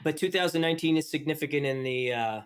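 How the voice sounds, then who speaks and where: A man's voice: on the high side, fast, clean tone. A man hosting an interview podcast, talking chattily and often stumbling and restarting his sentences. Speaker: podcast host. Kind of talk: hosted interview.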